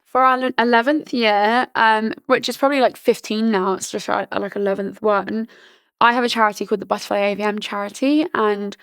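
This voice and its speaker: Young woman from Britain, early twenties, casual filler-heavy chat, little pitch movement, dry close sound, a bit hoarse. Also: steady tone, brisk, a bit bright, no room sound. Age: early 20s. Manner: chatty, many "like" fillers and restarts. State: slightly hoarse, losing her voice. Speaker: podcast guest, charity founder.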